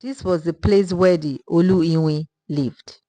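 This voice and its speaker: A woman reads a folktale as a scripted narrator. Her pace is slow and measured with a dramatic storytelling manner, and the sound is dry and close. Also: on the low side, mellow, no echo, low-quality recording.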